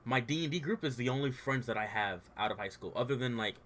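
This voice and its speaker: A man's voice: mid-range voice, lively intonation, quick pace, fairly bright tone, a little hiss or hum. A young man who stutters at times, talking candidly in a loose, informal way and saying "bro" and "like" over and over, as a streamer and dungeon master. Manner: informal, says "bro" and "like" a lot. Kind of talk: casual heartfelt rant on stream.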